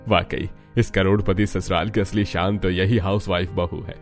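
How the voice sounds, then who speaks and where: A man's voice: low voice, level tone, moderate pace, gravelly, soft background music. A man playing a proud, kindly older father-in-law, head of a rich cartoon family.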